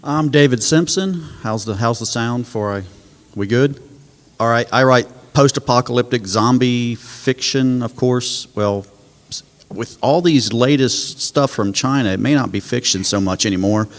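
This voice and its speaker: Male panelist, middle pitch, casual and wry, hall reverb. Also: unhurried. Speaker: panelist author. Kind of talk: conference panel talk. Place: conference panel room. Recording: echoey hall with long reverb.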